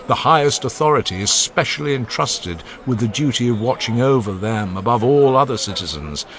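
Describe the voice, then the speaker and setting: A man's voice: lowish voice, fairly bright tone. A man voicing a grave, eloquent older male philosopher in a dramatized audiobook, delivering a solemn, declamatory oration. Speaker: Socrates.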